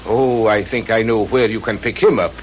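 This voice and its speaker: An actor playing a helpful, deferential police sergeant in old-fashioned radio drama style. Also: male voice, lowish voice, moderate pace, slight background noise, close to an everyday voice, rough recording.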